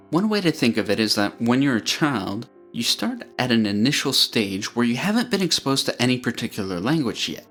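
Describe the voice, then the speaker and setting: A man narrating an explanatory video, speaking in a clear, measured way and walking through ideas step by step. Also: medium pitch, a bit bright.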